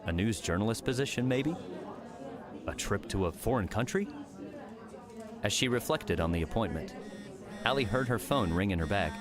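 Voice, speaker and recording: A man's voice: on the low side, expressive, moderate pace, pauses often, music in the background, a crowd in the background. A man reading scripted story narration as the narrator of an audiobook.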